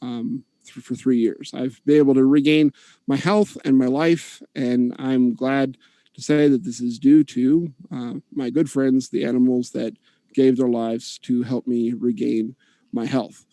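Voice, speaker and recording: A man earnestly giving his opening statement in an online debate, steady with occasional fillers. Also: medium pitch, measured pace, dry recording, low-quality recording.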